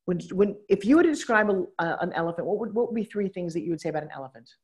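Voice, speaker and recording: A woman business coach on stage at a live seminar, teasing and bossing a guest with quick interruptions. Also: fairly low pitch, animated, a little mellow. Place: event stage with audience.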